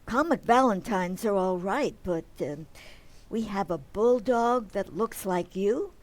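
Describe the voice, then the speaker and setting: A woman playing a sensible, gently chiding mother in an old-time radio family comedy. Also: mid-range voice, expressive, unhurried, close to an everyday voice. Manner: gently reproving.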